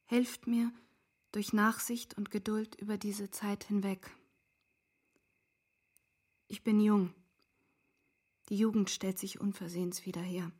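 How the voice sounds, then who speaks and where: An actress playing an earnest, resolute young woman in a literary radio play, speaking in a measured, solemn, formal way. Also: medium pitch, flat delivery, a little mellow, husky. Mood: pleading, resolute.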